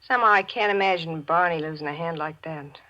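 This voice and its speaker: A woman's voice: sits low, quick pace, pushed and bright. An American actress playing an anxious, submissive girlfriend, hesitant and melodramatic in a 1940s radio drama. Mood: meek, uneasy. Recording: muffled 1940s radio recording.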